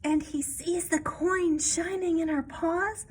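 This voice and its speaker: A woman's voice: very high-pitched, fairly even intonation, slow, a little hiss or hum, voice pushed up. A woman voicing anxious, imaginative little kittens in a children's picture book, in a worried, childlike manner.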